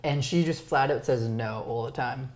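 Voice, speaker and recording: Low-voiced fast-talking woman host. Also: darkish tone. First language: Romanian. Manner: fast-talking, stumbles over words. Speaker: podcast host. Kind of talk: casual chat. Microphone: lapel.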